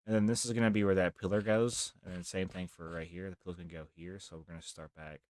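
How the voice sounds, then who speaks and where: A young man with a deep voice, rambling softly through casual live gameplay commentary as a streamer. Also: flat delivery, quick pace, no room sound, studio quality. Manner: rambling, talks softly, near whispering.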